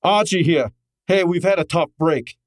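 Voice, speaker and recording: An actor playing a brash, wisecracking detective's assistant in an old-time radio drama, exasperated and sardonic. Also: male voice, a little high, normal speed, no room sound, clean studio sound, close to an everyday voice. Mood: matter-of-fact. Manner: exasperated, sardonic, hard-boiled.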